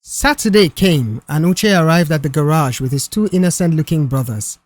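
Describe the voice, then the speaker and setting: A man's voice: on the high side, normal speed, no echo, faint music behind. A man reading a scripted story aloud as an audiobook narrator.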